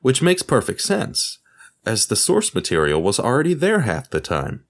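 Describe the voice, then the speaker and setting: A man's voice: medium pitch, huge pitch swings, normal speed. A man presenting a podcast as its host, reading scripted narration in an explanatory way. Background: music.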